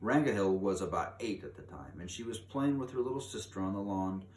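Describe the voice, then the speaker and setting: A man's voice: lowish voice, animated, a little fast, a little mellow. A man reading a children's story aloud expressively, as a storybook reader. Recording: echoey room, distant mic, faint noise.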